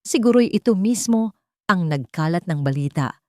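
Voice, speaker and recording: Low-voiced woman narrating a drama. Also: lively intonation, normal speed, warm tone, no room sound, studio quality. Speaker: storyteller. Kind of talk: dramatic scripted story narration.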